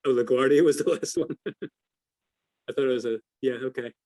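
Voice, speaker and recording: A man's voice: medium pitch, normal speed, dark tone, no room sound, studio quality. An American man giving informal analysis as an investment adviser answering questions on a call, hesitant and hedging as he thinks aloud.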